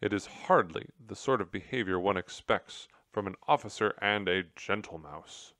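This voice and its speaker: A man's voice: on the low side, big pitch swings, unhurried, a bit bright, no room sound, clean studio sound. Man as an earnest, emphatic storybook mouse. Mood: indignant, scolding. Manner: emphatic, reproachful. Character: earnest, stern male mouse, scolding gentleman of storybook.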